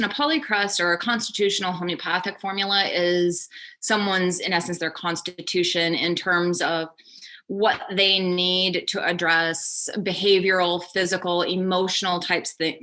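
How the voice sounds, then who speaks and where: A woman's voice: lowish voice, fairly bright tone. A young adult woman, a company founder, giving a thorough step-by-step explanation as an interview guest.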